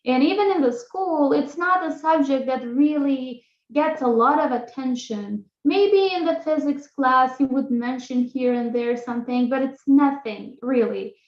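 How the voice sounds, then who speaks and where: A woman's voice: high-pitched, unhurried, few pauses, darkish tone. A young woman from Kosovo, a native Albanian speaker with an Albanian accent, telling her own story informally as a guest speaker in a talk. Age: young adult. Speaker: guest speaker, astronomy graduate student. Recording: roomy echo, dull muffled online audio.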